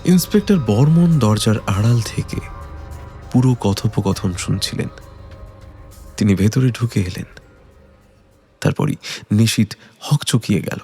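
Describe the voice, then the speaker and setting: A man's voice: lowish voice, a little slow, pauses often, dark tone, faint music behind. A man giving dramatic scripted narration of a detective story.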